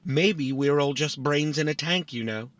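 An actor playing a small, vain, philosophical robot in a family audio drama, boastful and politely fussy. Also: male voice, fairly high pitch, unhurried, a bit bright, dry recording. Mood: vain, musing.

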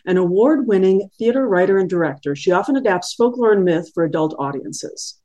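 A woman's voice: medium pitch, lively intonation, a little fast, mellow. A woman podcast interviewer introducing and questioning a guest in a conversational way.

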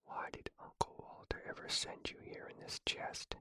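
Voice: normal speed, bright, forceful tone, close to an everyday voice. Martha, a fretful young girl, whispered by a narrator. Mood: distressed.

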